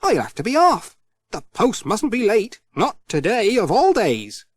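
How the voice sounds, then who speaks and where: A man's voice: high-pitched, huge pitch swings, unhurried, no room sound, voice pushed up, heavily acted. A man voicing a cheerful, kindly village postman in a children's audiobook, friendly and exclamatory. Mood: cheerful, hurried.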